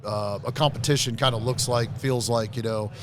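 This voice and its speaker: A man hosting a podcast, hoarse and losing his voice, talking in a laid-back, halting way with many fillers. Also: medium pitch, fairly even intonation. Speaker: podcast host. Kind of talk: casual podcast interview. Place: convention hall booth. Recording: noisy trade show floor.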